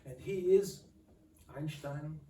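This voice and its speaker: Older guy hosting a talk, mellow voice, halting, echoey hall from far off. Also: on the high side, a little hiss or hum. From Austria. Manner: pausing, halting delivery. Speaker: moderator. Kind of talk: moderating a public talk. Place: lecture hall.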